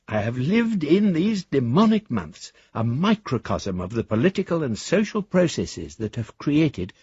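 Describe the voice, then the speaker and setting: An actor playing a half-mad, disillusioned rich speculator in a radio drama, husky and theatrical, with florid, emphatic delivery. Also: male voice, medium pitch, darkish tone, dry recording, highs cut off, full character voice. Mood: intense, grandiose. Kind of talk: dramatic radio monologue, letters read aloud.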